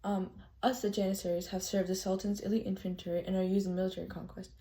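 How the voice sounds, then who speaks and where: Young woman, mellow soft-edged tone, polite halting delivery, scripted school-project tour guide. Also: medium pitch, little pitch movement. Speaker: student presenter playing a loyal Janissary tour guide. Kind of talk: scripted history project presentation in character.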